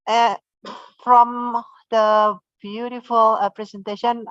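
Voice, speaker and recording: A woman's voice: medium pitch, fairly bright tone, no room sound, highs cut off. A woman with an Indonesian accent moderating an online seminar, hesitant with many fillers.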